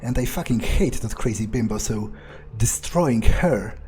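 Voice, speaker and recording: Man roleplaying a slow-talking, arrogant vampire. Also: mid-range voice, expressive, warm tone, a little hiss or hum, natural voice. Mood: gloating. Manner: drawling, halting, smug, contemptuous. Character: arrogant, menacing, soul-hungry male vampire predator. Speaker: game player roleplaying. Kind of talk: improvised in-character game roleplay.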